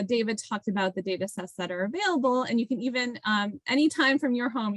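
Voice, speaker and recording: A woman's voice: mid-range voice, fairly bright tone, dry recording. A woman presenting slides informally in an online webinar, explaining in a conversational way and often correcting herself as she goes. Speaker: presenter, research program official. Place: online video call with screen sharing.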